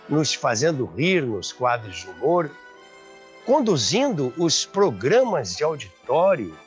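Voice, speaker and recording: A man's voice: a little high, breathy edge. A Brazilian man hosting and narrating a scripted documentary, with emphatic, dramatic phrasing. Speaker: host and narrator of a radio history show. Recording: slightly dull audio with background music.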